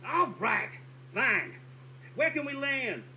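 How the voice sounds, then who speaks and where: A man playing an exasperated, defensive rogue in a live comic radio play, with a rough-edged voice. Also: very high voice, slow, exaggerated voice, poor audio. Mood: panicked. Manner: pleading, defensive, excitable. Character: Calvin, exasperated roguish brother accused of stealing. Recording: live theatre stage recording.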